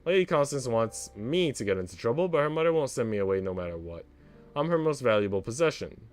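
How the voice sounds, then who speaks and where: Visual novel role: worldly female parlour maid, sardonic and composed, with expressive intonation, read by a man. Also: mid-range voice, fast talker, faint music behind, natural voice. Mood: matter-of-fact. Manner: dry, unruffled, sardonic. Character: wry, worldly older parlour maid, an outsider woman.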